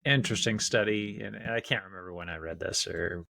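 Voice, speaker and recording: A man's voice: lowish voice, brisk, gravelly, sharp tone, no echo, clean studio sound. A man co-hosting a health show as a doctor, chatting casually and informally about advice for listeners.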